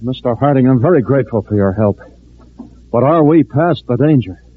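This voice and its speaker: An actor playing an earnest civil defense director in 1940s radio drama style. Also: male voice, mid-range voice, lively intonation, moderate pace, lightly acted. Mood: earnest, concerned. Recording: muffled old radio recording with crowd noise.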